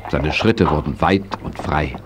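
An actor narrating a children's horse story in a 1980s audio drama, telling it expressively. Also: male voice, extremely low pitch, measured pace, background noise. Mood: storytelling.

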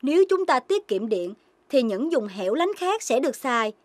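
High, expressive cartoon mother dog. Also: moderate pace, dry recording. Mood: gently scolding. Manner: instructive, motherly. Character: caring dog mother, gently scolding and patient. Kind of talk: Vietnamese children's cartoon dubbing.

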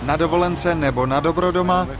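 An actor playing a plain-spoken, chatty newsstand vendor in a dubbed video game. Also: male voice, a little high, music in the background, natural voice.

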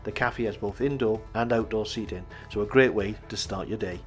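A man reading a scripted campsite review voice-over in an even, measured way. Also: fairly low pitch, over loud music.

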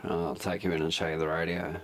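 A man casually walking through the controls of a CB radio at home, talking in a relaxed, chatty way. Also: sits low, fast, slight background noise. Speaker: radio seller demonstrating a CB set. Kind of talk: casual product demonstration. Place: indoors, room at home.

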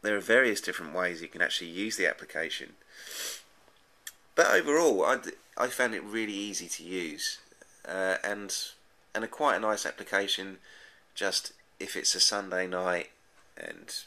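A man's voice: deep voice, big pitch swings, normal speed, pushed and bright. A man giving a casual, unscripted app review in a hesitant, rambling way, often repeating his words. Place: indoors at a desk, off screen.

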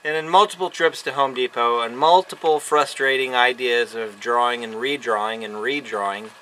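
A man chatting casually outdoors on a wooden deck, adding dry, halting remarks. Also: mid-range voice, sharp tone, far from the mic, slight background noise, rough recording. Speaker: homesteader, co-host. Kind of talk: casual chat, brief interjections.

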